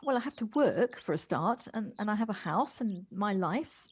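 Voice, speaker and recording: A woman playing a gentle, wistful city dweller in an audio drama, speaking in a halting, hesitant way. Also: medium pitch, lively intonation, husky. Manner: halting, hesitant, repeats words. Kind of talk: naturalistic audio drama acting.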